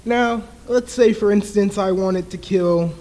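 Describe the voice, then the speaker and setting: A man playing a smooth, menacing husband in an old-time radio thriller, outwardly soothing and patronizing. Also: high-pitched, unhurried, mellow. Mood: toying, menacing. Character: smooth, menacing husband toying with his frightened wife.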